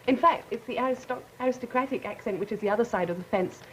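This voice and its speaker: A woman's voice: a little high, a little hiss or hum, low-quality recording. A teenage girl from England with an English accent, speaking articulately as a student panelist. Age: late teens. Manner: articulate, quick, polished. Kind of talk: panel discussion.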